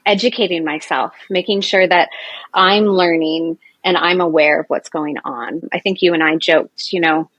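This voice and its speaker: A woman in her forties with a lowish, husky voice, talking with a guest's easy chattiness. She is loud and animated and fills her sentences with "um" and "you know". The recording is dry and close. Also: normal speed, no room sound, low-quality recording. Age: 40s. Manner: chatty, many fillers and restarts, animated. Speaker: nurse and Ayurveda practitioner, guest. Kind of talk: casual interview chat.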